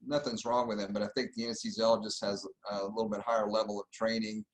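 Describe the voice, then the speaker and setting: A man's voice: on the low side, level tone, fast talker. A middle-aged man from Argentina, a surgeon being interviewed, explaining things in an easygoing, conversational way. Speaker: plastic surgeon, guest. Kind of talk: casual interview.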